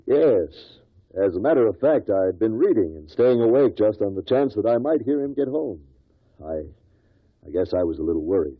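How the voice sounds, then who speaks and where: An actor playing an evasive, defensive murder suspect on the witness stand in a 1950s radio drama. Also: male voice, fairly low pitch, big pitch swings, moderate pace. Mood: guarded. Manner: defensive, indignant. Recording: muffled vintage 1950s radio recording.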